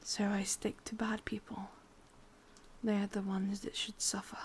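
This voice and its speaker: A woman with an English accent voicing a possessive, sadistic yandere witch in breathy, close-up whispered ASMR roleplay. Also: mid-range voice, flat delivery, a little hiss or hum, close to an everyday voice. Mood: coolly sadistic. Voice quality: breathy, husky. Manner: breathy whispering, slow intimate delivery. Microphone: close microphone.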